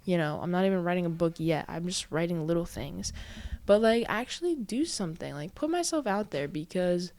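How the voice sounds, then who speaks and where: A woman's voice: lowish voice, animated, brisk, a little mellow, dry recording. A woman in her early twenties hosting a casual solo podcast, talking in a chatty, self-reflective way full of "like" fillers and a little out of breath. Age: early 20s. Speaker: podcast host.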